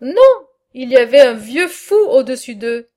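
A woman reading aloud the lines of a bold, mocking male satirist in a philosophical dialogue, in a measured, earnest way. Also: high voice, big pitch swings, darkish tone.

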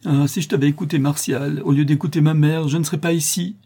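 Audiobook role: weak, panicked condemned daughter, heart-rending and fearful, husky tone, read by a man. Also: a little high, steady tone, fast talker, dark tone, voice pushed up. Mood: desperate reproach. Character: terrified condemned young woman, weak, despairing, blaming her mother.

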